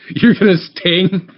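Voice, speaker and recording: A man's voice: high-pitched, lo-fi sound. A man running a tabletop game as game master, narrating the action and chatting encouragingly with players.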